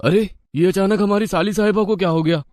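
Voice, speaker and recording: A man's voice: sits high, a little fast, a little mellow, dry recording, clean studio sound, pitched above the performer's own voice, close to an everyday voice. A man voicing an anxious young husband, urging and agitated, in a moral-story cartoon. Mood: alarmed. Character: anxious, urgent young husband and brother-in-law.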